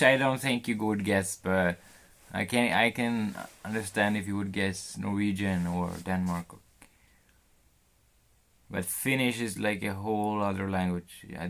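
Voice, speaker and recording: A Swedish-accented man chats with his live-stream viewers from a cold boat. His voice is low and gravelly. He talks slowly and haltingly and often restarts his sentences. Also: a bit bright. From Sweden. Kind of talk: casual live-stream chat. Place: on a sailboat in the cold.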